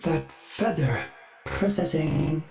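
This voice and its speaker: A man's voice: on the high side, animated, slow, vocal fry, darkish tone, soft background music, full character voice. An actor voicing a loyal, eager male ship's AI who speaks of himself in the third person in formal, sing-song lines. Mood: earnest. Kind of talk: game voice lines.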